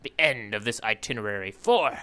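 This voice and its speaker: A man co-hosting a podcast, casually recapping a story in a halting way with frequent "you know" asides. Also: on the low side, lively intonation, bright, forceful tone, clean tone. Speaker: podcast co-host recapping a manga chapter.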